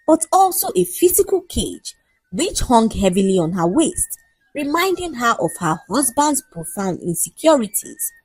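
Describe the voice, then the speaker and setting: A woman storyteller reading a scripted folktale in an expressive, measured and dramatic way. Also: medium pitch, slightly rough, dry recording, low-quality recording.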